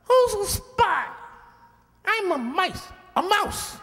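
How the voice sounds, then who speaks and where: A man's voice: extremely high pitch, big pitch swings, slow, pushed and bright, full character voice. A man voicing a small talking mouse, a naive, folksy family man in a radio play. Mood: indignant, candid. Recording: echoey hall with long reverb.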